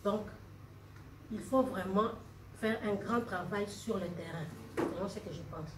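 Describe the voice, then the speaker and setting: A woman's voice: mid-range voice, a little mellow. A woman from Congo with a Congolese French accent, speaking off the cuff and insistently from the floor of a political meeting. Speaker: party member speaking from the floor. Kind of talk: impromptu speech at a political meeting. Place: meeting room, indoors. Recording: roomy, distant mic, noisy hall.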